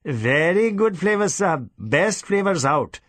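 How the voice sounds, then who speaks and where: A man's voice: high-pitched, sing-song, unhurried, fairly bright tone, no echo, pitched above the performer's own voice, heavily acted. A male narrator plays a fat, greedy merchant from an audiobook novel. He is servile and salesmanlike, fawning on his customers. The recording is dry.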